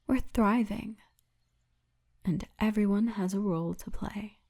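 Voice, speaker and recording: Woman acting as towering female orc officer, ASMR roleplay, unhurried, soft dark tone, confident, teasing. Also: medium pitch. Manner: teasing, confident, formal turns of phrase, often breathy murmur.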